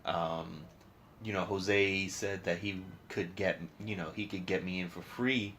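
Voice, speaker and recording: Man, lower pitch, casual sweary podcast banter, noticeable noise behind the voice. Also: fairly even intonation, unhurried, fairly bright tone. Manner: profane, slangy, informal talk. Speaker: podcast host. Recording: clear background noise.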